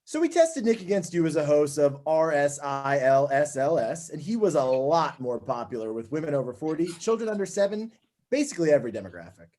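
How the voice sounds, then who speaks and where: Male, actor as smooth-talking network executive, chummy and glib, very animated pitch, comedy table read. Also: a little fast. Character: breezy, slick male TV network boss.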